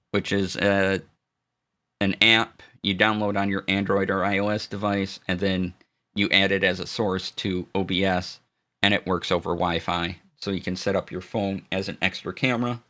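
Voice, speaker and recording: Low-voiced man demoing gear on stream. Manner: relaxed, halting explanations with fillers and restarts; greets chat viewers warmly. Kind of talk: casual live-stream tech demo. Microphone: studio dynamic mic.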